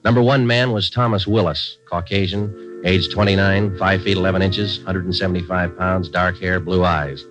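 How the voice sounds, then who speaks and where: A man's voice: low-pitched, fairly even intonation, normal speed, hardly any pauses, close to an everyday voice. An American man playing a laconic, businesslike detective sergeant in a 1940s radio crime drama, speaking in clipped, matter-of-fact lines. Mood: deadpan narration. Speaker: narrator and lead actor. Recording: dull vintage radio recording with music.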